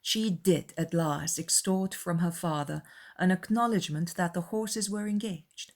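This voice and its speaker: A woman's voice: fairly low pitch, a little slow. A woman reading scripted literary narration as the narrator of a dramatized audiobook.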